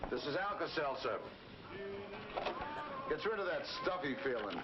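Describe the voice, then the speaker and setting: A man's voice: fairly high pitch, big pitch swings, unhurried. A man playing a seasoned veteran giving friendly advice to a kid in a vintage TV commercial. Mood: seasoned, unhurried. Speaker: actor in a commercial. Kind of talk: vintage TV ad acting. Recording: muffled old ad, noisy, distant.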